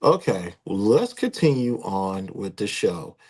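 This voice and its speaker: A man's voice: lowish voice, very animated, a little slow, a little mellow, husky. A man in his late thirties hosting a live talk show, speaking in a casual, joking way. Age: 30s. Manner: joking, teasing. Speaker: host.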